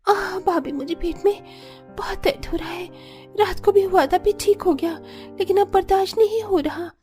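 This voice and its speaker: A woman's voice: very high-pitched, normal speed, a little mellow, faint music behind. A woman playing a whiny, momo-crazy young sister-in-law in a Hindi cartoon, pleading and exclamatory. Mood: pained. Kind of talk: Hindi cartoon story acting.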